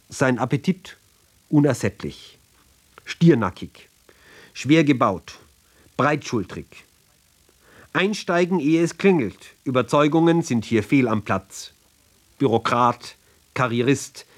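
A man gives a declamatory literary radio reading in clipped phrases with pointed, sardonic emphasis. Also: medium pitch. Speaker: reader of a literary text.

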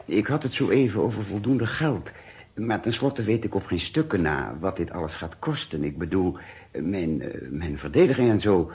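Deep-voiced, hesitant suspect. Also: male voice, lively intonation, breathy edge, exaggerated voice. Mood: anxious, hesitant. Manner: hesitant, halting. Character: anxious, lonely male murder suspect in custody. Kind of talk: Dutch radio detective drama acting. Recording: muffled old radio play recording.

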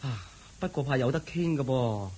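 An actor playing a curious, sympathetic village uncle in a Cantonese radio drama, exclaiming in a husky Hong Kong Cantonese voice. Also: male voice, mid-range voice, expressive, moderate pace, warm tone, a little hiss or hum, close to an everyday voice. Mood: curious, concerned. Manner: exclaiming, inquisitive.

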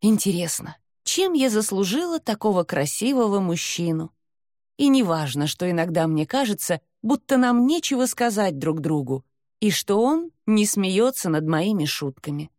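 A woman's voice: mid-range voice, big pitch swings, moderate pace, darkish tone, no echo, clean studio sound. A woman narrating a novel in the first person, reading expressively with a dramatic touch. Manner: dramatic, acts out dialogue. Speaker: audiobook narrator.

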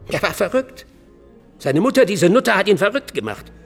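A man's voice: sits high, very animated, moderate pace, creaky, long reverb tail, faint music behind, exaggerated voice. An actor playing a defensive, bitter middle-aged bar owner in a German crime radio play, evasive and coarse as he justifies himself under questioning. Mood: agitated, self-justifying. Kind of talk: German radio drama acting.

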